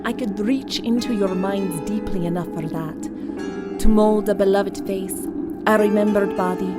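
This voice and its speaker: A woman portrays a powerful alien woman in a pulp science fiction audio drama. She speaks gravely and formally in measured phrases, at a medium pitch, with music clearly underneath. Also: steady tone, close to an everyday voice. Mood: sorrowful confession. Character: solemn, powerful alien woman from another world.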